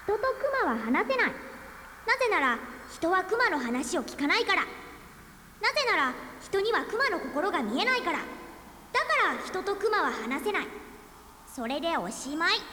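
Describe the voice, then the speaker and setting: A woman's voice: very high-pitched, big pitch swings, normal speed, pushed and bright, heavily acted. A woman voicing a small, mischievous, childlike male fox spirit in a radio drama, talking in a sing-song way and repeating phrases. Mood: eerie chant. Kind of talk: 1990s radio drama acting. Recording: echoey hall with faint hiss.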